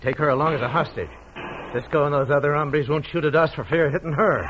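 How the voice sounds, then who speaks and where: An American actor playing an uneasy, excitable bandit sidekick with folksy cowboy talk in an old-time radio Western. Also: male voice, on the high side, fast talker, lightly acted. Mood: urgent. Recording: muffled, noisy old radio recording.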